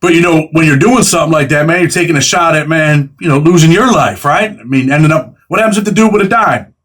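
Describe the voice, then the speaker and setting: A man's voice: a little high, fairly bright tone. A man in his forties hosting a casual interview, talking in a conversational, streetwise way. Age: 40s. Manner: fast, conversational, streetwise slang. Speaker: host.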